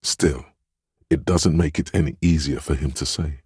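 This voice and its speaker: A man playing the gentle, perceptive inner voice of empathy in a role-playing game, narrating in a measured, intimate, solemn and literary way. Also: fairly even intonation, no room sound, studio quality, exaggerated voice. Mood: tender, perceptive. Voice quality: very deep, gravelly, husky.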